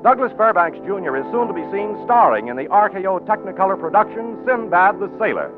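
A male announcer reading a sponsor message and sign-off in a measured, polished cadence. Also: high-pitched, lively intonation. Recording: tinny 1940s radio broadcast, faint music.

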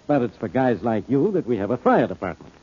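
An actor playing a gruff, sarcastic police inspector in an old-time radio detective drama, blunt and needling with a rough-edged voice. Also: male voice, mid-range voice, big pitch swings, fairly quick, lo-fi sound. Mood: exasperated, accusing. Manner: blunt, needling, hard-boiled delivery.

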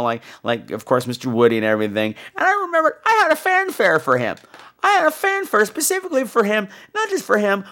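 Hoarse, animated American man hosting a podcast. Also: high voice. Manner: campy, animated, foul-mouthed. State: hoarse from laryngitis. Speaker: podcast host. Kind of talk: casual comic podcast chat.

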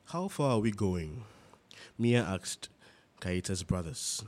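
A man's voice: fairly low pitch, expressive, slow pace, darkish tone. A man reading literary fiction aloud, narration mixed with characters' dialogue. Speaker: reader.

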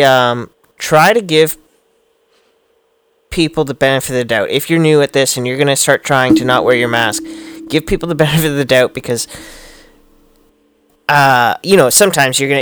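Smooth-voiced reflective man. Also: mid-range voice, moderate pace, a bit bright, no echo. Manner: earnest, reflective, easy laugh. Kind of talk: casual chat.

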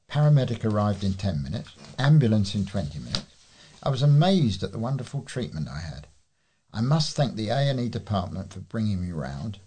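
British male volunteer reader for printed letters, raspy and on the low side. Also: mellow. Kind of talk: reading readers' letters aloud.

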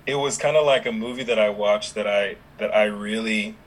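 A young adult man with no regional accent, chatting relaxed with many fillers as a podcast guest. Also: on the low side, moderate pace, slight background noise, poor audio. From Dominica, raised in Houston, Texas. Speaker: podcast guest, app founder. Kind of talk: casual interview conversation.

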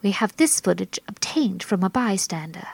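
A woman's voice: mid-range voice, animated, gravelly, slightly rough. An actress playing a female TV news reporter delivering a formal report. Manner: measured, formal.

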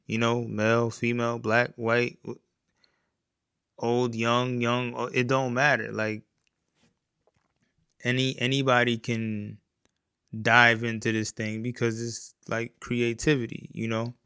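A man in his forties rambles easygoingly through his own podcast, circling back on his words. His voice is gravelly yet smooth and even in pitch, with a slow, unhurried delivery. The sound is dry and close. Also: no echo. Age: 40s. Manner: rambling, easygoing, many fillers and restarts. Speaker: podcast host, music producer. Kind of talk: casual solo podcast talk.

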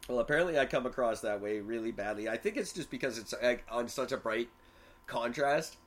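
A young man talking loosely on a podcast, brash and self-mocking about his own arrogance. His voice sits at an ordinary middle pitch and he swears freely. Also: a little fast. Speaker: podcast co-host. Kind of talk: casual podcast chat.

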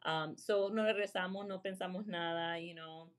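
A woman from Rhode Island, a real estate agent, chatting in a relaxed interview and dropping English fillers between her sentences. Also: lowish voice, unhurried. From Rhode Island, USA. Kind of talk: casual interview.

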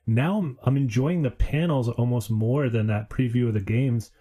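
Mellow-voiced relaxed man. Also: mid-range voice, normal speed. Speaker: podcast guest. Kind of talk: casual chat.

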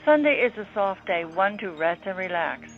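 A woman's voice: mid-range voice, lively intonation, normal speed. Female radio astrology presenter, mid-range voice, scripted read, muffled lo-fi recording over faint music. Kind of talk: scripted radio horoscope narration. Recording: dull lo-fi audio.

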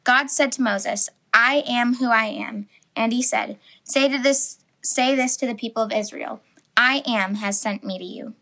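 A woman co-hosting a relaxed faith podcast, her voice fairly high and bright. She mostly drops in short, teasing remarks. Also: unhurried, creaky, rough recording. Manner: brief teasing interjections. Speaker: podcast co-host. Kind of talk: casual faith podcast chat.

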